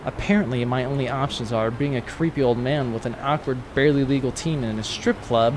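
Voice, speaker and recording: A man playing a sarcastic, world-weary older spacefarer in a comic sci-fi audio drama, grumbling in a dry deadpan. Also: medium pitch, brisk, background noise, lightly acted. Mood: grudging, deadpan.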